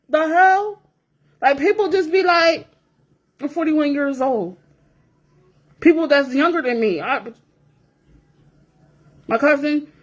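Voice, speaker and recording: Forty-something woman ranting on her vlog, high voice, blunt and cussing a lot. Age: 40s. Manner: blunt, profane, repetitive, emphatic. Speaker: vlogger. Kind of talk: casual rant to camera.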